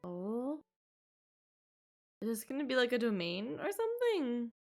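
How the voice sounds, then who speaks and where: A woman's voice: a little high, huge pitch swings, a little slow, faint music behind. A woman streaming a game, reacting casually to the dialogue as it plays.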